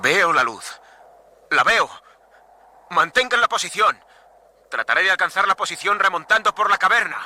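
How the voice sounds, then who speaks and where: A man's voice: high voice, animated, moderate pace, long pauses, pushed and bright, exaggerated voice. An actor playing a desperate stranded man in a suspense radio drama, speaking dramatically. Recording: dramatic music behind the voice.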